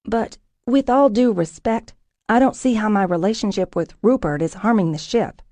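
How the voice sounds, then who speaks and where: A woman's voice: medium pitch, moderate pace, dark tone, dry recording, natural voice. Woman playing a defiant woman soldier. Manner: tense, defiant retorts.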